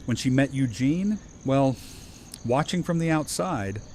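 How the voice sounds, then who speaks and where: A man playing a gentle, sincere friend in an audio drama. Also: mid-range voice, lively intonation, measured pace, slight background noise. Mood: tender, sincere. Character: Aaron, gentle, sincere man giving wedding toast. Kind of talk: fan audio drama acting.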